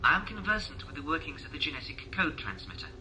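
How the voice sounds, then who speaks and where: An actor playing a deferential, scheming clone scientist in a science-fiction audio drama, speaking politely and formally. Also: male voice, on the high side, sing-song, quick pace, background noise. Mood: servile, hesitant.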